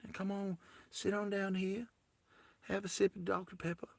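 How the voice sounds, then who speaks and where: A man playing a gentle, apologetic boyfriend who talks in a soft, coaxing, halting way. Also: high voice, level tone, vocal fry, no echo.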